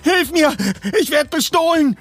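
A man puts on a shaken young woman for an audiobook. The pitch is lifted and lively, with a startled, earnest delivery. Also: unhurried, breathy edge, faint music behind, full character voice. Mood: desperate. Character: shaken young woman in her twenties, friendly. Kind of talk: audiobook character voice.

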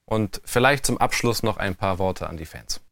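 A man's voice: lowish voice, animated, moderate pace, fairly bright tone, a little hiss or hum. A man hosting a podcast interview, putting prepared questions to his guest.

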